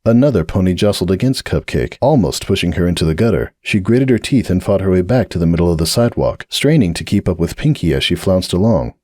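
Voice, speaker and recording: A man narrating a dramatic audiobook reading of a fanfiction story in a measured, expressive way. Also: sits low, no echo, clean studio sound.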